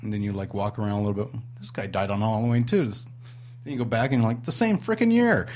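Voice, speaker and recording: A man's voice: lowish voice, fast talker, a little mellow, slight background noise. A man hosting a radio talk show from a studio in a historic house, rambling with lots of fillers and a sarcastic streak. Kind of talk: casual radio chat.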